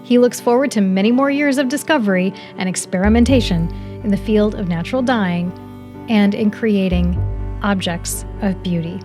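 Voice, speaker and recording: Woman host, mid-pitched, effusive interviewer, faint music underneath. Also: moderate pace. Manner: warm, effusive praise. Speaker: podcast host. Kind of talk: reading a guest bio, then interviewing.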